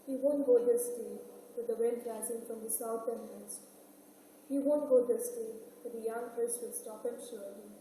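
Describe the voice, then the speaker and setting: A woman acts out an old island mother, grieving and pleading in a high voice. It is stage drama, caught from far off in a very echoey hall with clear background noise. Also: steady tone, moderate pace, warm tone, close to an everyday voice. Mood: anxious. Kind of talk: stage play acting. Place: stage in a hall, distant microphone.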